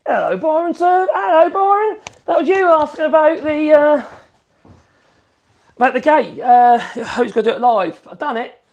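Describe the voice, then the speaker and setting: A chatty man in his fifties, hosting a relaxed woodworking livestream and wandering from topic to topic. His voice sits unusually high for a man and carries a rough, gravelly crackle. He drifts into a cooing, sing-song tone whenever he talks to his puppy. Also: moderate pace, lo-fi sound. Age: 50s. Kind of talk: casual livestream chat.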